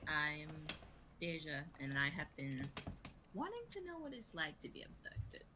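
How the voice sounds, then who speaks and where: A woman's voice: deep voice, wildly swooping pitch, a little slow. A woman in her twenties chatting casually among fellow performers. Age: 20s. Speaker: member of a reading group. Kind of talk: casual group chat. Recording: clear noise, clicks and keyboard typing.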